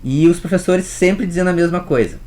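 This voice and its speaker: A man's voice: sits high, a little fast, a little mellow. A man, teaching music history in a casual storytelling way and talking straight to the viewer. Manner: chatty, addresses viewer directly with rhetorical questions.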